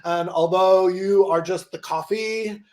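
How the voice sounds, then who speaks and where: A man playing an earnest, stubborn son in improvised comedy, speaking in a deliberate, declaiming way. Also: high voice, steady tone, a little mellow. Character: Laird, earnest, stubborn son with big dreams.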